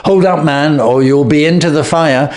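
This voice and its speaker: A man voicing a shrewd, calm gentleman detective who speaks blandly and with sweet irony. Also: on the high side, normal speed, voice pushed up, close to an everyday voice. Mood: quiet, commanding. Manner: bland, sweetly ironic, assured.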